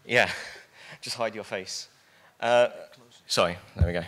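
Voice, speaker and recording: Loud, joking man giving a conference talk. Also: fairly low pitch, takes it slowly. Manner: casual, joking with the audience. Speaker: conference speaker. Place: conference hall stage. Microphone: handheld mic.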